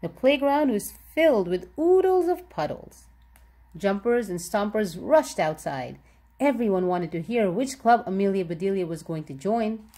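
A woman's voice: medium pitch, expressive, moderate pace, warm tone. A woman reading a children's picture book aloud, expressively and with careful enunciation for young listeners. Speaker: read-aloud storybook narrator.

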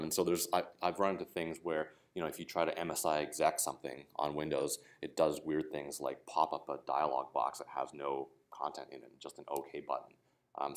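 A man giving a casual, explanatory technical talk at a conference, often checking in with "right?". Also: a bass voice. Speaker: conference speaker. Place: conference room.

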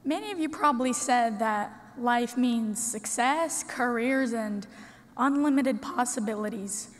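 A sixteen-year-old girl from Russia giving an earnest, prepared speech at a podium in a large conference hall, with deliberate dramatic pauses. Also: fairly high pitch. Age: teens. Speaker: student speaker. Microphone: podium microphone. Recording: long hall reverb.

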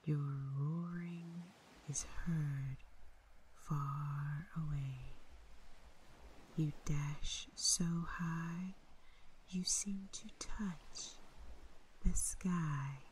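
Woman doing a calm poem reading, low soft whispery voice, really slow, long pauses. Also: a little hiss or hum, poor audio. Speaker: poetry reader. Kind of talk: slow relaxing poem recital, soft and breathy.

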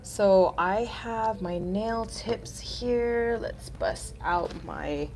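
A woman's voice: mid-range voice, very slow, darkish tone, a little hiss or hum. A woman in her thirties hosting a casual live nail art tutorial, chatty and upbeat, sounding nasal from a cold.

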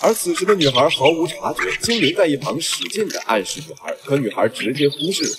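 A man's voice: fairly high pitch, lively intonation, fast, heavy background noise. A man narrating a film recap in a scripted storytelling style, as the regular narrator.

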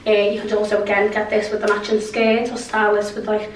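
A young woman, hoarse from a cold, chatting casually through a clothing haul with lots of fillers. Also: medium pitch, monotone, fast talker, slight background noise. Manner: chatty, many fillers and likes. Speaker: fashion vlogger. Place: bright bedroom with clothes rail. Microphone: camera mic from across the room. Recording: roomy echo, mic at a distance.

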